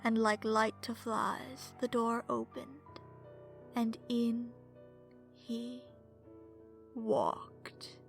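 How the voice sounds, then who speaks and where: A woman playing a seductive, smug and predatory vampire in an ASMR horror story, speaking in a sultry, breathy way that slips into whispers. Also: fairly high pitch, flat delivery, extremely slow, pauses often, music in the background, heavily acted. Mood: coldly gloating. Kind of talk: ASMR horror story narration in first person.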